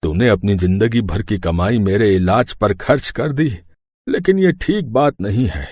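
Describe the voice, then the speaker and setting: A man's voice: fairly low pitch, animated, a little slow, a little mellow, no room sound. Man voicing an ailing elderly father, dry close sound. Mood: tender, solemn. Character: ailing elderly father writing a farewell letter. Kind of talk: scripted story dialogue.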